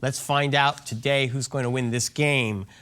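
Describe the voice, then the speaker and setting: A quiz show host reading out trivia questions in a clear, measured way and calling on players by name. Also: medium pitch, steady tone, a bit bright.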